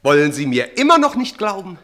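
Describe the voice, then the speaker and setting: Radio play magician: uncanny, self-assured, declamatory, extremely animated intonation, faint music behind. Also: male voice, high voice, fairly quick. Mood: triumphant. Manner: declamatory, hypnotic, insistent. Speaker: radio drama actor. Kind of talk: theatrical early German radio play acting.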